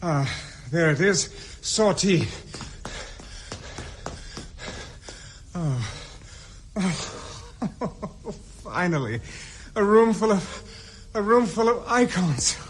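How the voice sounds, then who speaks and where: A man's voice: high voice, animated, slow pace, long pauses, husky, full character voice. An actor with a British accent playing a resourceful, hunted officer, muttering his thoughts in clipped exclamations in a radio drama. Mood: panicked, urgent. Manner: talking to himself in clipped exclamations. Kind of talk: radio drama acting with muttered inner monologue.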